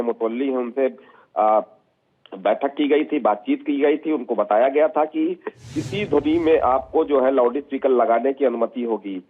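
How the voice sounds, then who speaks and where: A man giving a live, unscripted news report as a field reporter, halting and repetitive with stock phrases. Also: medium pitch. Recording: tinny phone-like live link.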